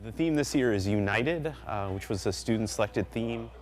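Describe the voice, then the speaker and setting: Sex: male. Role: student adviser. Style: video interview. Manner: calm and reflective. Pitch: low. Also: normal speed.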